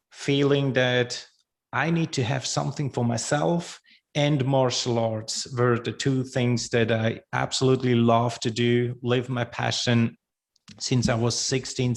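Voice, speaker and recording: Slow, halting man. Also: mid-range voice. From Switzerland. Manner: slow, halting, with many restarts. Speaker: guest. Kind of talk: podcast interview.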